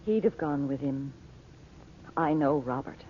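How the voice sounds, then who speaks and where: Anxious, earnest mother, vintage radio serial, slightly husky, narrow phone-like recording. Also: rumbling low, expressive, a little slow, heavily acted. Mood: troubled. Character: Dot, worried, earnest mother in an adventure serial. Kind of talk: 1940s radio drama acting. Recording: muffled, phone-like old radio broadcast recording.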